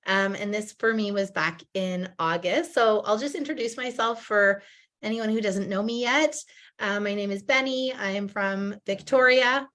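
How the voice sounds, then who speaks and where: Slightly husky chatty woman presenter. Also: medium pitch, a bit bright. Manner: chatty, upbeat, many ums and restarts. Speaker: host, direct sales presenter. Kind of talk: casual online product presentation. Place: at home, online video call. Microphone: video call.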